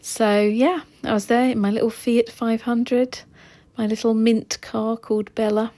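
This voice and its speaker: A woman narrating her art vlog in a relaxed, chatty way, her voice slightly hoarse as she loses it. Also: on the high side, unhurried. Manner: chatty, relaxed, with soft laughs and ums. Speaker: art vlogger. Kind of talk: casual voice-over narration.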